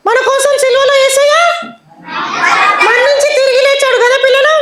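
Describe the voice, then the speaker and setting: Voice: extremely high pitch, little pitch movement, moderate pace, over a noisy crowd. A performer voicing a pious, cheeky child puppet friend in a children's Bible puppet show. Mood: earnest preaching. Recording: echoey hall, loud noise.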